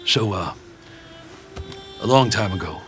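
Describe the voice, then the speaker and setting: A low-voiced, weary old mechanic. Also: male voice, lively intonation, slow, fairly bright tone, faint music behind. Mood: weary, reflective. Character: weary, gruff older male mechanic, protective surrogate father. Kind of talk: video game cutscene acting.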